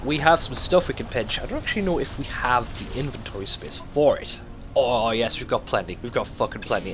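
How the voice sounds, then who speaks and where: Animated, sweary male gamer over music. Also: medium pitch. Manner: sweary, rambling, talks to himself. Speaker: let's play host. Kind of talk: casual video game commentary. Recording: music behind the voice. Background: game music.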